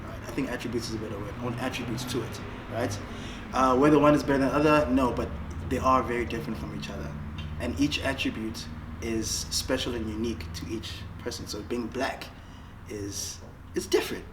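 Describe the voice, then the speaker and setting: A man's voice: medium pitch, brisk, roomy sound, distant mic, background noise. A man in his late twenties, born in Senegal, talking casually and jokingly in a documentary interview. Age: 20s.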